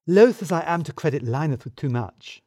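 A man's voice: a little high, animated, brisk, mellow, dry recording. A man voicing an opinionated female office colleague, dry, articulate and pointed, in an audio story. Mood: pointed, satisfied.

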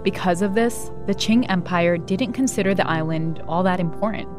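Female explainer narrator, conversational, lower voice, music under. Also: normal speed. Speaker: video host and narrator.

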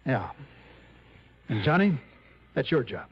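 An American actor playing a harried, grumbling insurance office manager in a vintage radio drama. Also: male voice, medium pitch, very animated, slow pace, slightly rough, full character voice. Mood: exasperated. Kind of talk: 1950s-60s American radio drama acting. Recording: dull vintage radio recording.

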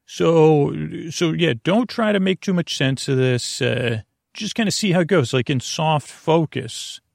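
Male sleep-podcast host, gravelly and creaky, soothing, meandering delivery, dry close sound. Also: a little high, measured pace, dry recording. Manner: meandering, mumbling, repetitive, with tangents. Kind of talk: rambling bedtime sleep podcast introduction.

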